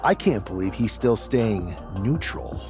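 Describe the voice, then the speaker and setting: A man's voice: on the low side, lively intonation, measured pace, over music. An actor playing an earnest, folksy male pro wrestler in a video game cutscene. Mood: suspicious, earnest.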